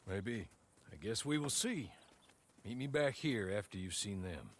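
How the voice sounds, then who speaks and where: A man's voice: lowish voice, expressive, a little slow. An actor playing a laconic, matter-of-fact American frontier man in a video game. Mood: dry, matter-of-fact. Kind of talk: video game cutscene acting.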